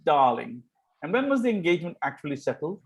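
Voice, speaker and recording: A man reading the part of a smitten, ardent young Victorian gentleman in an amateur table read of a stage comedy, wooing earnestly. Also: fairly high pitch, big pitch swings, fast talker, rough recording. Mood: surprised, tender.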